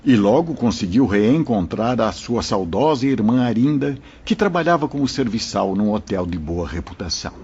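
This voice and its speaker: An actor playing a wise spirit mentor who recounts events to listeners in a solemn, explanatory manner in an audio drama. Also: male voice, lowish voice, expressive, moderate pace, few pauses, a little mellow, a little hiss or hum. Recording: muffled lo-fi audio.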